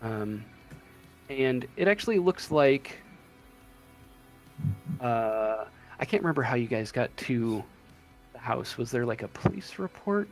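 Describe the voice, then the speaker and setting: A man narrating a tabletop role-playing game as game master, describing scenes in halting pieces. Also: medium pitch, lively intonation. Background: music in the background.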